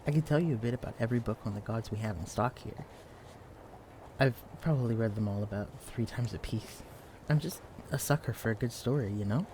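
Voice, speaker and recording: A young man playing a shy, clumsy, bookish librarian in an intimate audio roleplay, speaking in a hesitant, stammering, hushed way. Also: mid-range voice, a little fast, background noise, close to an everyday voice. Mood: helpful, eager. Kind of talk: intimate audio roleplay acting addressed to the listener. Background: quiet library ambience.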